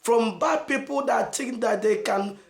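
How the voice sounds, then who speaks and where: A man's voice: very high voice, creaky. Nigerian man preaching in an echoey room. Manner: emphatic, rhetorical questions, slow stressed phrases. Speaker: channel host. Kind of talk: motivational preaching to camera.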